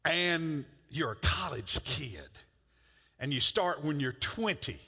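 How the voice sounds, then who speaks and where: Pastor preaching in a reverberant hall. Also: male voice, fairly high pitch, animated, slow, vocal fry, sharp tone, breathy edge. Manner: conversational, repeats words when emphasizing. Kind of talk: sermon. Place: church sanctuary. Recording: muffled church hall with long reverb.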